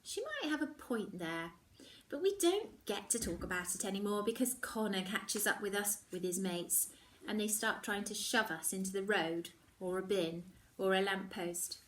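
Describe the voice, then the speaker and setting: A woman reading a children's novel aloud like a teacher, with expressive, measured narration that dips into hushed tones. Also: mid-range voice, far from the mic.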